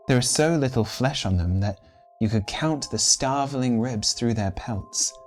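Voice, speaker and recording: A man narrating a literary story in a deliberate, menacing, dramatic style, as a scripted readthrough. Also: fairly low pitch, a little mellow. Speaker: reader of a short story. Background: instrumental background music.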